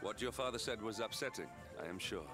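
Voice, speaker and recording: A man plays a protective warrior in a video game. His fairly low voice is formal, grave and restrained, stern in a dutiful way. Music is clearly audible behind it. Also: quick pace, close to an everyday voice.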